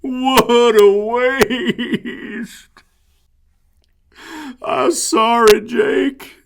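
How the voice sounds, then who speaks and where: A heartbroken country father with homespun folksy speech, as a male storyteller puts him on. His voice sits high and strained above the narrator's own tone, and the words come out slowly and heavily. He sounds earnest and raw. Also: steady tone, creaky, clear, resonant tone, heavily acted. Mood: grieving. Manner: rural folksy dialect, tearful lament.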